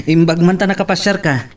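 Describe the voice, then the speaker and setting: A man's voice: on the high side, moderate pace, music in the background, natural voice. A man with an Ilocano accent playing a jovial, teasing best friend in an amateur audio drama. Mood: amused, teasing. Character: jovial, teasing male best buddy giving love advice.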